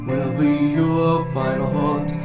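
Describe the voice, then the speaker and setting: Female singer, low-variation eerie lullaby delivery, roomy echo, loud guitar music. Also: fairly even intonation, takes it slowly, muffled recording. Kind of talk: slow sung horror-themed intro song.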